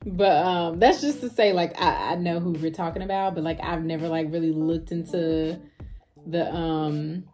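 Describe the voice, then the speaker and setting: A woman's voice: fairly low pitch, fairly even intonation, normal speed, darkish tone. Candid American woman over music. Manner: blunt, candid, slangy. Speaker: reaction video host. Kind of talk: casual video reaction commentary.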